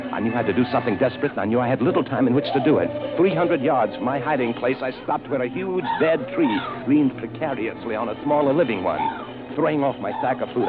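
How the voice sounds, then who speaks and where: Narrow vintage radio sound, loud score, male actor as tense determined hunter on the run, earnest dramatic storytelling. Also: mid-range voice, lightly acted. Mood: tense, urgent. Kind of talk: 1940s radio acting. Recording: tinny old radio broadcast, music underneath.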